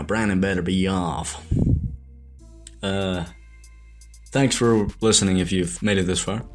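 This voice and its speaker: A young adult man from Ireland, a show creator, talking through a rambling, hesitant reflective monologue full of restarts and self-corrections. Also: low voice.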